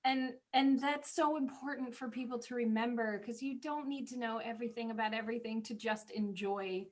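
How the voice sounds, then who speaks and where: Woman, high voice, podcast co-host, animated casual chat, personal storytelling. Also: a little fast. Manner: animated, laughs easily.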